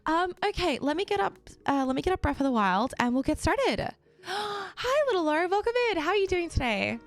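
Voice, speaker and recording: Bubbly young woman streamer, lively voice. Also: extremely high pitch. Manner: bubbly and chatty, laughs often, quick asides to chat. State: slightly raspy, just woken up. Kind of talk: casual chat with a live stream audience.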